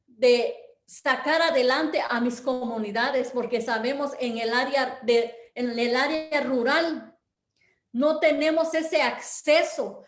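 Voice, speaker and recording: High-voiced Guatemalan woman, rallying. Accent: Guatemalan Spanish. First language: K'iche'. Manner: earnest, rallying, calls for courage with religious blessings. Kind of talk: impassioned address to an online audience. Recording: roomy echo.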